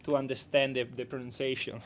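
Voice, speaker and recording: A man from Italy with a strong Italian accent, a documentary director speaking as a guest on a radio talk show, halting and repeating words as he goes. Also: medium pitch, level tone, slight background noise. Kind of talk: radio interview over the phone. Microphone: phone line.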